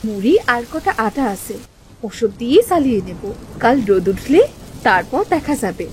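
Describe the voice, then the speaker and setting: A woman voicing a caring, practical village mother in a cartoon, expressive and homely. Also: fairly high pitch, normal speed, slight background noise, natural voice. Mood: reassuring. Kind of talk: animated moral story acting and narration.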